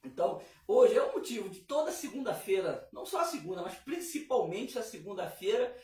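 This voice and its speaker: Hoarse man hosting gospel radio. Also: sits high, big pitch swings, a little fast. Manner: warm preacherly, many fillers and repeats. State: hoarse from a cold. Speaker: radio show host. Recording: echoey room, distant mic.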